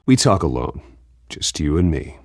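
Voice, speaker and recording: An actor playing a guarded, stern young billionaire in a video game, speaking in a terse, dry, skeptical way. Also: male voice, rumbling low, very animated, moderate pace, darkish tone, no room sound, full character voice. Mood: cool, firm.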